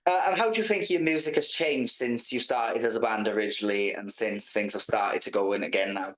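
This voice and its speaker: A man's voice: lowish voice, fairly quick, fairly bright tone. A man asking questions as the interviewer in a casual chat. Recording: roomy, echoey sound.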